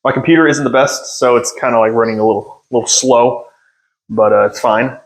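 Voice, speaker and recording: A man's voice: medium pitch, fairly even intonation, fairly quick, rough recording. A young man hosting a podcast from home, talking off the cuff into a phone on a stand, rambling and self-deprecating, with lots of fillers. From United States. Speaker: podcast host. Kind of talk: unscripted casual monologue.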